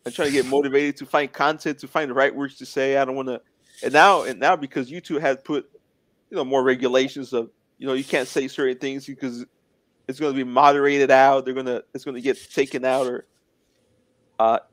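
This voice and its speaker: A man's voice: medium pitch, fairly even intonation, brisk, a bit bright, no room sound. A man in his twenties, a guest in a casual interview, talking in an earnest, plainspoken way. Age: 20s.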